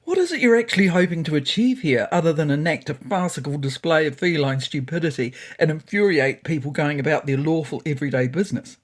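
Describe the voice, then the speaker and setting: A woman's voice: sits low, expressive, fast, few pauses. A woman voicing a pompous, opinionated male cat in a storybook, talking with mocking, derisive amusement.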